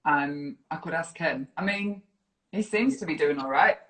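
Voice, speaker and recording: A woman's voice: sits low, moderate pace, a bit bright. Echoey, playful northern English woman. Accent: northern English, softened by years in New Zealand. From Yorkshire, northern England. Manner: playful, teasing. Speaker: fitness program director, interview guest. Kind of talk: casual live chat, reading viewer comments. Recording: roomy, echoey live online video chat.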